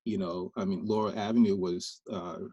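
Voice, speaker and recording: A man from Liberia speaks on a panel with a low, slightly husky voice. He talks thoughtfully, pausing often with fillers and restarting his sentences. The sound is dry and close. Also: steady tone, mellow, dry recording, lo-fi sound. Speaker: filmmaker panelist. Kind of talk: panel discussion.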